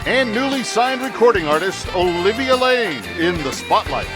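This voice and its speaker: A man's voice: high-pitched, very animated, moderate pace. A man announcing a radio show in a scripted introduction. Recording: loud music bed under voice. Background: loud music.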